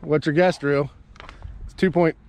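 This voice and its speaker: A man from Ohio giving casual, excitable play-by-play while ice fishing, recorded outdoors on a frozen pond with a body-worn camera. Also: a little high, unhurried. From Ohio, USA. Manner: chatty, excitable, swears casually. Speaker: fishing video host. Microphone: body-worn action camera. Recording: outdoor camera audio with steady noise.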